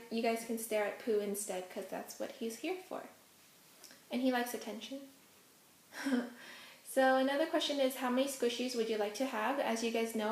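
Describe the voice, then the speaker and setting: A woman's voice: on the high side, roomy sound. A young woman whose first language is Hokkien, chatting casually through a Q&A vlog at home with lots of ums. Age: young adult. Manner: chatty, many ums, giggly asides. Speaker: vlogger. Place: indoors at home, face out of frame.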